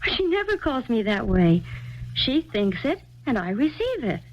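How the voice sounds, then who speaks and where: An actress in a 1970s radio drama playing a calm, knowing young woman who talks with quiet, sweet insistence. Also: a little high, unhurried, slight background noise. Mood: serene, knowing. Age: young adult. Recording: dull lo-fi archival radio broadcast.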